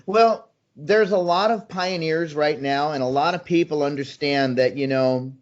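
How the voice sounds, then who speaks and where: A man's voice: on the high side, unhurried. A man in his sixties, a guest in an interview, talking eagerly and persuasively with frequent ums and restarts. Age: 60s. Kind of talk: conversational interview answers. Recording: slightly dull, muffled sound.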